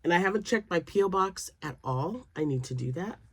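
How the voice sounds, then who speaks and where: A woman's voice: lowish voice, expressive, fairly quick, slightly rough, no room sound. A woman in her later years, a crochet vlogger chatting casually to camera, a little nasal and froggy from sinus drainage, rambling with fillers. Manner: chatty and rambling, many fillers, laughs often.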